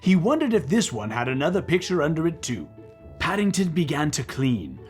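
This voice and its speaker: A man reading a children's story aloud in an animated, expressive way, with playful banter as a co-host. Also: on the high side, brisk, soft background music. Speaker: children's book reader and comedy co-host.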